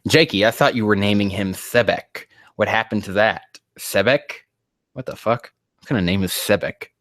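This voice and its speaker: A man reads out a brash young trainer from an amateur fan story. The delivery is indignant and overblown, pushed louder than plain talk. The character bickers like a cocky kid hero. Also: lowish voice, normal speed, dry recording, clean studio sound, close to an everyday voice. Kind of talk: dramatised fan fiction reading.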